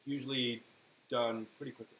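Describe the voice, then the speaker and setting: A man hosting a casual live stream, replying to viewers in a halting way that often trails off mid-thought. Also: mid-range voice, flat delivery, fairly bright tone. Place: indoor room at home with printer running. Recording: roomy echo, faint buzzing 3D printer noise. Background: faint buzz of a 3D printer.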